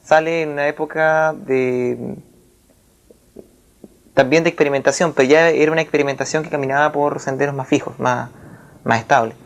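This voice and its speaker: A man speaking as a writer in a reflective literary interview, with formal wording and a halting, searching manner. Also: on the high side, fairly even intonation, creaky. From Chile.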